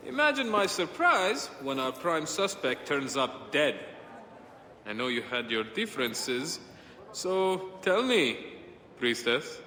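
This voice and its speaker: A menacing game investigator with mock courtesy. His delivery is slow and theatrical, with very lively intonation. The voice rings in an echoing space. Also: on the high side, exaggerated voice. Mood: smug, threatening. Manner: deliberate, theatrical, insinuating. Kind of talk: video game cutscene acting. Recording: echoey hall with faint noise.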